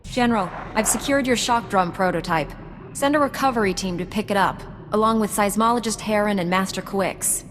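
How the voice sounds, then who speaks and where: A woman plays a brisk, dutiful knight in a game. Her mid-range voice is businesslike and rings with reverb over faint ambience. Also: medium pitch, fairly bright tone, a little hiss or hum, lightly acted. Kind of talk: dramatic video game acting.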